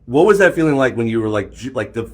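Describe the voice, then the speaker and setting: A man interviewing someone casually, nervous and halting in how he talks. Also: medium pitch, expressive.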